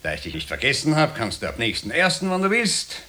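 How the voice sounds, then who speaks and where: Radio-drama Nazi careerist: Austrian accent, medium pitch, brassy, jovially condescending. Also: male voice, wildly swooping pitch, fast talker, sharp tone. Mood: patronising. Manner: backslapping, condescending, chuckling. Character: smug, jovial Nazi party functionary, self-important careerist. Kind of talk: 1960 radio drama acting.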